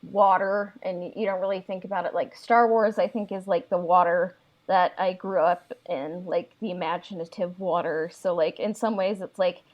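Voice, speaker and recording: A woman's voice: lowish voice, fairly even intonation, moderate pace, darkish tone, lo-fi sound. A young woman talking casually about her creative project, tentative and reflective, often saying "like" as she thinks. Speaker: creator of a fan audio drama. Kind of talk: casual conversation.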